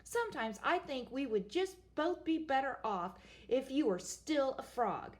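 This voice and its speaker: A woman's voice: high-pitched, lively intonation, a little slow, voice pushed up. A woman voicing a young princess who nags and complains with a whiny tone. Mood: nagging. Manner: complaining, whining.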